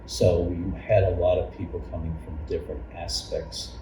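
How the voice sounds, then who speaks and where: Male church member interviewee, low register, informal and halting, recorded far off with room echo. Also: flat delivery. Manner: halting, repeats words as he forms thoughts. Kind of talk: informal spoken recollection in a group interview. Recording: noisy, echoey room, far from mic.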